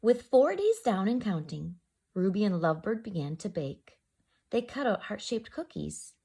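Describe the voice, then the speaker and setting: A woman's voice: mid-range voice, sing-song, normal speed, mellow, husky. A woman storyteller reading a children's picture book aloud expressively, addressing young listeners directly. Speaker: storyteller, host.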